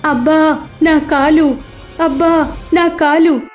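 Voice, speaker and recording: A woman's voice: high voice, steady tone, takes it slowly, soft background music, voice pushed up. A woman voicing a distressed, injured village milkman who wails and complains in a moral storybook tale. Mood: pained. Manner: wailing, complaining.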